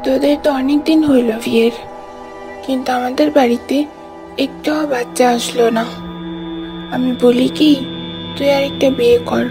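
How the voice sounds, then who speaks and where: Woman as high-voiced elderly village mother. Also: steady tone, a little slow, long pauses. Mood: discontented. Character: kindly elderly village mother fussing over her son. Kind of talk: cartoon story acting. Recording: music behind the voice.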